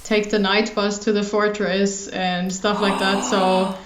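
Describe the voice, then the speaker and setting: A woman's voice: mid-range voice, flat delivery, measured pace. Eager woman guest in an echoey room. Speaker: podcast guest. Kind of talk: casual chat. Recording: echoey room, faint noise.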